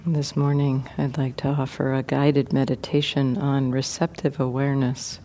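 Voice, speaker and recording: A woman meditation teacher leading a guided meditation gently and without hurry, leaving many pauses. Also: rumbling low, level tone, a little hiss or hum.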